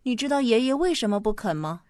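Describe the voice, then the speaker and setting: A woman playing a patient mother who explains things gently in a radio drama. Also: on the high side, animated, quick pace, no room sound, clean studio sound, close to an everyday voice. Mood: patient, explaining.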